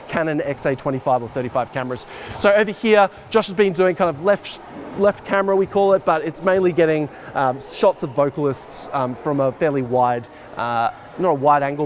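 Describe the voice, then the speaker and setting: Man, forties, slightly high voice, informal teacher-like explaining, piecemeal delivery, faint background noise in a hall. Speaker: teacher, head of arts and IT department. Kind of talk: informal walk-through technical tour, explaining to camera. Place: large dark school assembly hall, indoors. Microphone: camera microphone a short distance away. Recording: noisy hall ambience on camera mic.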